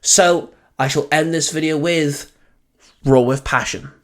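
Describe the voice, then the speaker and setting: Male YouTube story-channel host, pitch a little above average, presenting an outro. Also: measured pace, fairly bright tone. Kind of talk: YouTube outro address to listeners.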